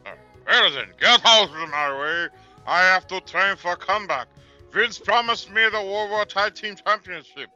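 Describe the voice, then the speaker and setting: A man playing a weary, slow-witted giant wrestler in a comedic audio play, halting and stammering. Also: high-pitched, pushed and bright. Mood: eager. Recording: muffled, music underneath.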